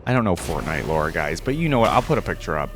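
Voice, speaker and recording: A man's voice: sits low, very animated, fast. A man giving casual, off-the-cuff reaction commentary as a video host, musing aloud in slangy talk. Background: music and video game sounds.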